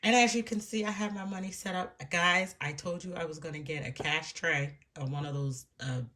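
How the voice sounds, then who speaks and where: A woman's voice: fairly low pitch, fairly even intonation, normal speed. A woman with a stuffy, froggy voice from allergies, chatting casually and rambling a bit as she walks through her budget for viewers at home. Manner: rambling and chatty, with soft laughs and hushed asides. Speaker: budgeting vlogger. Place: room at home.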